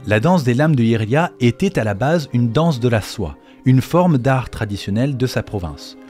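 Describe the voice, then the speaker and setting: A man's voice: mid-range voice, lively intonation, normal speed, darkish tone. A man narrating a scripted explainer as the host of a video on game lore. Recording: faint music behind the voice. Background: faint background music.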